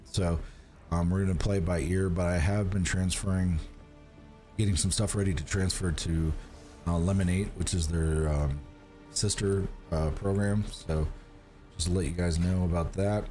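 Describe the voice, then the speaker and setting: A man's voice: low-pitched, fairly even intonation, moderate pace, mellow, faint music behind. A man streaming a game and chatting to viewers, rambling with lots of fillers and restarts while getting over a cold. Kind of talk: casual gaming livestream chat.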